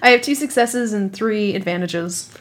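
A woman's voice: medium pitch, normal speed, a little hiss or hum. A woman chatting casually as a player in a tabletop role-playing game.

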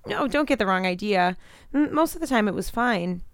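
A woman as a clear-voiced, earnest teenage girl. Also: mid-range voice, lively intonation, normal speed, no room sound, studio quality, lightly acted. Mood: sincere, gentle. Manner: soft-hearted, sincere, polite. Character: gentle, sheltered, earnest, sickly teenage girl. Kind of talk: audio drama acting.

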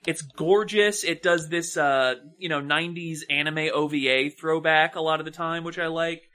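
A man talks casually as a podcast co-host, offering opinions and recommendations. His voice is high for a man and has a bright, crisp edge to it. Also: fairly even intonation, normal speed. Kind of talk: casual chat, giving reviews and recommendations.

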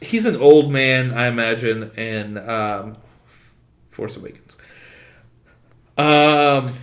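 A male podcast co-host chatting loosely and excitably, full of swearing and quick asides. English is not his first language, and he talks at a middle pitch. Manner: swears constantly, rambling and excitable. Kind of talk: casual chat.

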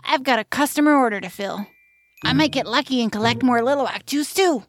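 A woman's voice: high voice, brisk, lightly acted. An actress playing a brash, loyal, teasing young female adventurer in a fantasy audio drama. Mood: curious. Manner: brash, plucky, slangy.

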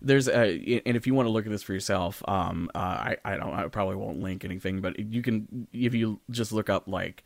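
A man's voice: fairly low pitch, fairly quick, creaky, few pauses, dry recording. A man co-hosting a podcast, talking casually in a hesitant, rambling and occasionally sweary way. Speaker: podcast co-host. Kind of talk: casual discussion.

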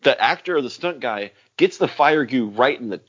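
A man's voice: mid-range voice, normal speed, breathy edge, lo-fi sound. A man co-hosting a podcast in casual chat, rambling and swearing as he acts out lines. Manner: animated, rambling, swears often, acts out quotes. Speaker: podcast co-host.

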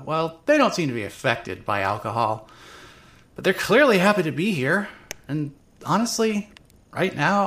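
Woman acting a tipsy, swearing young woman, very animated. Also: low voice, heavily acted. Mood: tipsy, cheerful. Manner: rambling, drunken hesitations, sarcastic swearing. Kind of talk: first-person dramatic narration of a fan audio drama.

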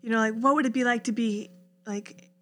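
Chatty young woman, bright and high. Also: steady tone. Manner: earnest, chatty, quick to agree. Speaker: podcast co-host. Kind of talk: casual conversational chat.

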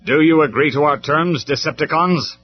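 A man's voice: on the high side, normal speed, pitched above the performer's own voice, lightly acted. Man acting out heroic robot commander, stern, declamatory, storybook reading, lo-fi sound, faint music. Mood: stern, harsh. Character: noble, commanding male robot leader. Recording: dull lo-fi recording with music.

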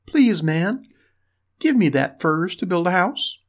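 A man voicing a small, plucky little pig in a fairy tale, squeaky, eager and polite. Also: big pitch swings, takes it slowly, heavily acted. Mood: pleading. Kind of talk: storybook character voice.

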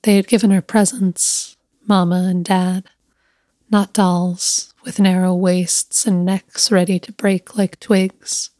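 A woman reading a scripted literary story in a measured, hushed, deliberate way. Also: medium pitch, little pitch movement, no room sound, studio quality. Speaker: story narrator.

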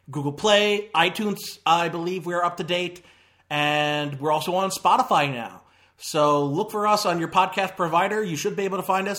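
A man hosts a casual movie podcast. He rambles along, breaking off with fillers and restarting his sentences. His voice sits a little high. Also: bright, forceful tone. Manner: rambling, with many fillers and self-corrections. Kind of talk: casual chat.

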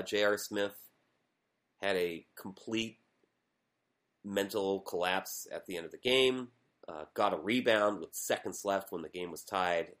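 A man co-hosting a podcast, chatting casually and rambling through his commentary with a self-deprecating edge. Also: low voice. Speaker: podcast co-host. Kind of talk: casual chat.

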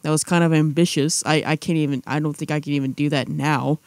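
A man's voice: fairly high pitch, flat delivery, fast talker, no echo, clean studio sound. A young man chatting casually as a podcast co-host, rambling with restarts and fillers. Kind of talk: casual chat.

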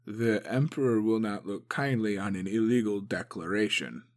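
A man's voice: fairly low pitch, moderate pace, natural voice. A man voicing a cautious male samurai advisor who mumbles and hesitates.

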